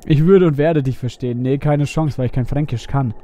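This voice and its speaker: A man's voice: mid-range voice, fairly quick, warm tone, lo-fi sound, slight background noise. A man giving casual, playful live commentary as he streams a video game and chats with viewers.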